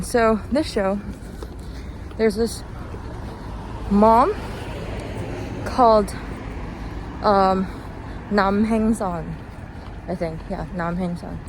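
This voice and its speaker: A chirpy, giggly woman in a casual, rambling solo chat, halting often and leaning on "like". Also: medium pitch. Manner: giggly, chuckles often between phrases; halting, peppered with "like". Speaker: host of a personal walk-and-talk podcast. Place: outdoors, walking along a street. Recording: clear outdoor street and car noise. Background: passing cars, urban outdoor ambience.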